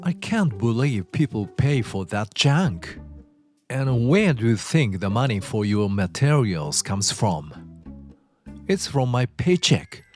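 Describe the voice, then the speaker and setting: A man's voice: mid-range voice, sing-song, takes it slowly, darkish tone. Man voicing a petulant, swooping, selfish husband. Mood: petulant, belittling. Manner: petulant, belittling, blustering. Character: childish, selfish, demanding husband, dismissive and whiny. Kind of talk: dramatized dubbed dialogue. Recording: background music under the voice.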